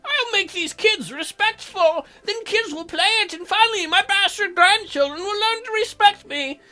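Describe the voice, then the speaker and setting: A man's voice: very high voice, moderate pace, pushed and bright, pitched above the performer's own voice, heavily acted. A man voicing a spiteful, scheming old grandparent in a comic, mocking way, gloating and exaggerated.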